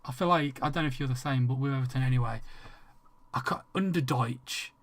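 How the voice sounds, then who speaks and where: A man's voice: medium pitch, brisk. A man with an English accent chatting casually with viewers during a game livestream, rambling, restarting sentences and swearing freely. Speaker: streamer.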